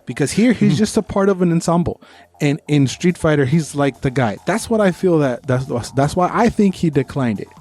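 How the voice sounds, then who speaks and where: A man's voice: on the high side, lively intonation, normal speed, a little mellow. A man co-hosting a podcast, giving opinionated, informal review chat with bits of trivia. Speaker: podcast co-host.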